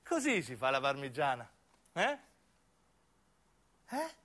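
A man's voice: medium pitch, wildly swooping pitch, slow, fairly bright tone, pitched below the performer's own voice, full character voice. A man playing a nosy, talkative old woman in a radio play, homespun and prodding, with a southern Italian accent. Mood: chatty. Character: chatty, nosy, homely old Sicilian woman.

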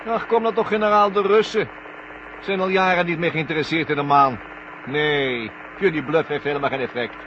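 An actor playing a smug, scornful traitor astronaut who talks in a mocking, condescending way, in a 1970 Dutch radio drama. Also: male voice, a little high, normal speed, noisy background, natural voice. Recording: muffled, humming 1970 radio recording.

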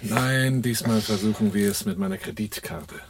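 An actor playing a cool, commanding leader of a covert break-in in a German radio drama, giving dry instructions. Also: male voice, lowish voice, normal speed, lightly acted. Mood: dry, insistent. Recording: clearly noisy old radio drama recording.

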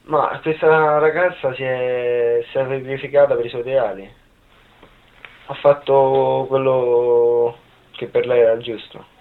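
A man's voice: mid-range voice, little pitch movement, a little slow. A man in his early twenties giving casual, brief answers in an interview. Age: 20s. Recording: thin, phone-like sound.

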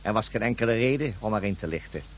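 An actor playing a formal, officious minister of a tribe in a Dutch science fiction radio drama, stately and courteous in phrasing. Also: male voice, lowish voice, animated, fast talker, slight background noise. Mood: grave, apologetic.

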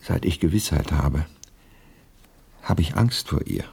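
An actor playing an anxious, earnest widower in a German radio play, pleading and insistent, with a husky voice. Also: male voice, a bass voice, lively intonation, a little slow, a little mellow, exaggerated voice. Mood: agitated, pleading. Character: anxious, earnest widower bent on summoning dead wife.

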